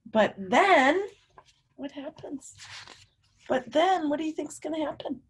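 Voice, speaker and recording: Slow, high-voiced woman reading to children. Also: animated. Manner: simple, encouraging, prompting questions addressed to children. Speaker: teacher reading aloud to students. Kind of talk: children's picture-book read-aloud with questions to young listeners.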